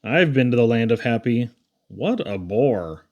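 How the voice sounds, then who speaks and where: A man's voice: mid-range voice, big pitch swings, a little slow, darkish tone, muffled recording. A man reading humorous children's poems aloud in a theatrical, playful storytelling manner. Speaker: poetry reader.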